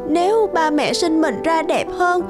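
A woman voicing a self-loathing teenage schoolgirl in an animated tale, whiny and complaining. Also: very high voice, a little slow. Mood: self-pitying. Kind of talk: animated moral tale monologue. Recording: music behind the voice.

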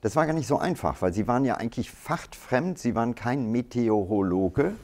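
Middle-aged male moderator, animated questioning, mid-range voice. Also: medium pitch. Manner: lively, animated questioning, quick asides. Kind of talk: hosted stage conversation. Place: stage of an indoor event hall.

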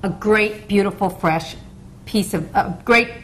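A woman's voice: mid-range voice, a little slow, slight background noise. A woman hosting a cooking show, eagerly asking her guest questions in casual chat.

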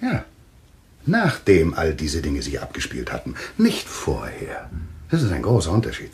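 Grave doctor, radio thriller. Deep, deliberate, insistent, expressive inflection. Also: male voice, vocal fry, full character voice. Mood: explaining. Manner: deliberate, explanatory, emphatic. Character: composed, authoritative male doctor, grave and insistent. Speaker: actor as a doctor. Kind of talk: German radio thriller acting. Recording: slight background noise with clicks.

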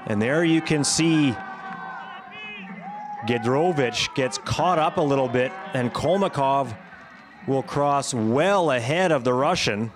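A man giving scripted highlights commentary on a sports competition as the commentator. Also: animated, slow, music in the background, a crowd in the background.

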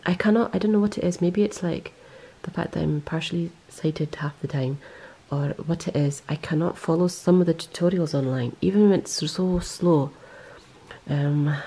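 A woman's voice: sits low, mellow, husky. A woman talking casually over close-ups of her knitting, rambling and correcting herself with lots of ums. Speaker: knitting vlogger. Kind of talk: casual show-and-tell. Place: indoors, close-up on hands and yarn.

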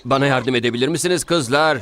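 A man's voice: mid-range voice, quick pace, fairly bright tone, slight background noise, close to an everyday voice. A man playing a flustered, bewildered pet shop owner in a cartoon. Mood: alarmed. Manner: exclaiming, bewildered.

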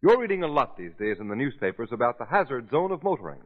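A man announcing on 1930s radio, giving an emphatic, formal advertising pitch. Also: sing-song, quick pace. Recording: dull 1930s radio recording.

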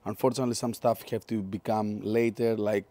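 A man's voice: lowish voice, little pitch movement, brisk, dark tone, no room sound. A man in his thirties with a Greek accent, chatting upbeat about his car build from an outdoor driveway garage. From Greece. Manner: chatty, upbeat, non-native English. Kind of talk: casual workshop vlog.

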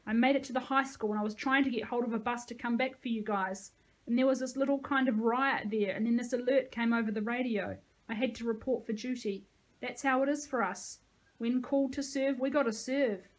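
A woman voicing a tough, motherly grey-haired army reservist with a gravelly voice and folksy manner, in an audiobook. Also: a little high, fairly even intonation, fairly quick, natural voice, rough recording. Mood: tender, apologetic. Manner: bellowing orders, folksy endearments.

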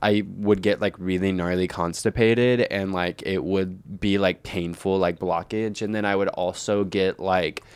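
A man's voice: sits low, fairly even intonation, a little slow, hardly any pauses, darkish tone, smooth, no room sound. A young American man chatting casually as a comedic podcast co-host, slangy and sweary, with "like" all through his speech. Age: young adult. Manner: slangy, heavy use of "like" and swearing. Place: living room indoors, by a fireplace. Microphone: handheld microphone held close.

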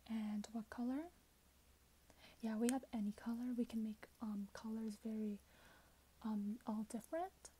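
A young woman in her twenties doing soft-spoken ASMR roleplay, often whispering, with a gentle, hesitant, polite customer-service manner. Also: a little high, fairly even intonation, a little slow, long pauses. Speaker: ASMR creator playing a robot companion clerk. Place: small plain room indoors. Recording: hissy background noise, keyboard typing. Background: keyboard typing and clicks.

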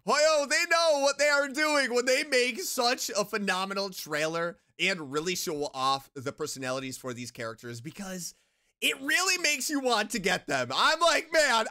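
A reaction streamer gushing over a game trailer with loud exclamations and frequent laughter. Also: medium pitch. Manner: excitable, laughs often, exclaims loudly. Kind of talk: casual reaction commentary.

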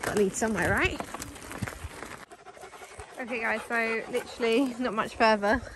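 A woman chats casually to the camera while riding an electric bike along a forest track. She breaks into a high, coaxing sing-song whenever she calls her dogs. Steady wind and road noise sit clearly under her voice in this outdoor recording. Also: normal speed. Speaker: vlogger.

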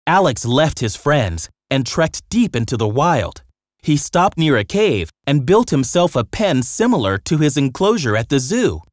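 A man's voice: on the high side, very animated, unhurried, dry recording, studio quality. A man narrating a scripted children's story with dramatic storytelling delivery.

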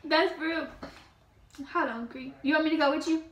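A woman's voice: high voice, moderate pace, fairly bright tone. A young American woman chatting casually with a friend in an offhand, slangy way. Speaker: vlogger's friend. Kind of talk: casual chat. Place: small home room. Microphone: camera mic, sitting back from it. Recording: echoey, noisy room camera audio.

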